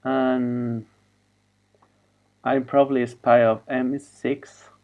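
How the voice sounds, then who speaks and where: A man whose first language is Spanish, talking in a halting, wandering monologue over a video call. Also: mid-range voice, level tone, phone-quality sound. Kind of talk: rambling monologue.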